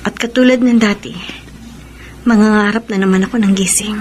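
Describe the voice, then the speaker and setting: A woman's voice: mid-range voice, fairly even intonation, brisk, noisy background, natural voice. An actress playing a heartsick young woman clinging to a lost love in a Filipino radio melodrama, with emotional, dramatic delivery. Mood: bored, wistful.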